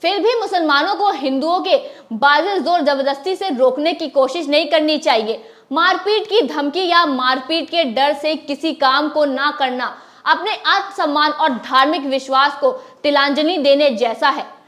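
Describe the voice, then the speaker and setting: A woman's voice: high-pitched, unhurried, fairly bright tone. A woman presenting a formal, scripted news commentary, occasionally stumbling over the written words.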